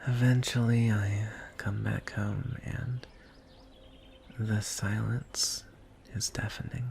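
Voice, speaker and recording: Lonely, courtly dragon suitor acted by a man, fantasy ASMR; hushed, lowish creaky voice over faint noise. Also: level tone. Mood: wistful, longing. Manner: soft, hushed, breathy delivery with deliberate pauses. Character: lonely, courtly male dragon wooing a prince.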